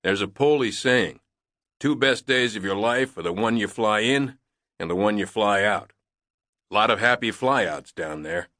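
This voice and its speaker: A male narrator playing a glum, gruff airplane loadmaster who talks tersely. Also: fairly low pitch, measured pace, bright, forceful tone, no echo, clean studio sound. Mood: laconic. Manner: terse, laconic.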